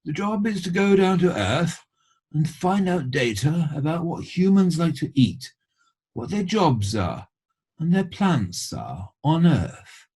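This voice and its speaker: A man voicing a regal sloth supreme leader in a storybook, with a posh British accent and a stately, deliberate manner. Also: on the high side, very animated, a little mellow, slightly rough, voice pushed up, full character voice. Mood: businesslike.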